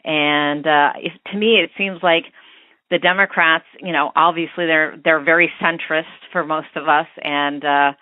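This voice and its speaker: An American woman leaving an informal opinion message as a listener calling in, halting as she thinks aloud. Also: low voice, steady tone, dry recording. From United States. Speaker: podcast listener leaving a voicemail. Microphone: phone. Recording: tinny phone-line voicemail.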